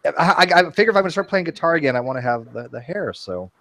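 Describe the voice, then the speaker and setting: A man's voice: on the high side, very animated, racing, fairly bright tone, dry recording. A man hosting a casual video call chat, rambling and joking at his own expense with lots of restarts. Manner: self-deprecating, rambling with restarts. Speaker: host.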